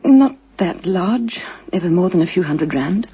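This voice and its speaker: A woman playing a grieving widow in a radio crime drama, hesitant and faltering, with a breathy, husky voice that drops to a hush at times. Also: lowish voice, expressive, measured pace, heavily acted. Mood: grieving, shaken. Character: grieving recent widow, shaken and halting, adult. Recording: dull lo-fi vintage radio recording.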